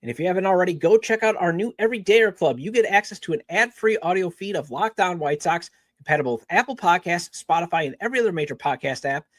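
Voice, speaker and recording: Male, high voice, sports podcast host, dry recording, promos and guest intros. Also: expressive, quick pace, few pauses, dry recording. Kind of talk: podcast hosting and ad reads.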